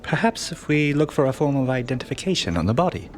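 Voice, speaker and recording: A man's voice: medium pitch, sing-song, quick pace, faint music behind, natural voice. An actor playing an earnest, easily impressed male sidekick in a radio play. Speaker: narrator and assistant.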